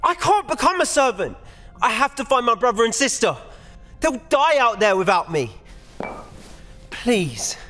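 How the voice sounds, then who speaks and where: A woman's voice: on the high side, big pitch swings, a little slow, long pauses, fairly bright tone, faint music behind, exaggerated voice. A woman playing a desperate, determined young captive, the eldest sibling, speaking earnestly and urgently in an audio drama. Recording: long hall-like reverb.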